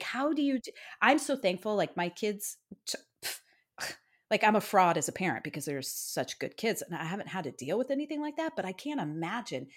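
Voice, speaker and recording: A woman co-hosting a casual podcast, chatty and self-deprecating, laughing often. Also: mid-range voice. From Iowa. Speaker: podcast co-host. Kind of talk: casual true-crime podcast chat.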